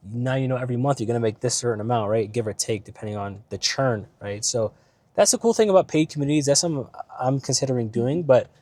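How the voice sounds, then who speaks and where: A man's voice: mid-range voice, darkish tone. A man talking casually about business while driving, rambling with many fillers and asides, his voice starting to go from illness. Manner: rambling, filler-heavy, keeps asking "right?". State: ill, voice starting to go. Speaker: business vlogger. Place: in a car.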